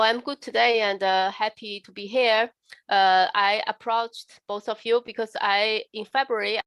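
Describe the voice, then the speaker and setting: A woman's voice: mid-range voice, a bit bright, clear, resonant tone, no room sound. A woman from China with a Chinese accent, a native Mandarin speaker, talking casually as a guest interpreter. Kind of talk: casual self-introduction in conversation.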